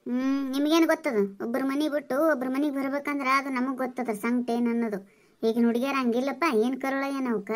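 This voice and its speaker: A woman's voice: high voice, steady tone, fast, darkish tone, close to an everyday voice. A woman with a North Karnataka accent playing a sentimental, fussing married woman in a family skit, chatty and plaintive. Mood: indignant, plaintive.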